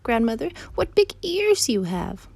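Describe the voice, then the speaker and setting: A woman's voice: fairly high pitch, very animated, a little slow, gravelly, darkish tone, breathy edge, pitched above the performer's own voice, heavily acted. A woman voicing an innocent, trusting little girl in a storybook, speaking politely and earnestly. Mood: wondering, uneasy.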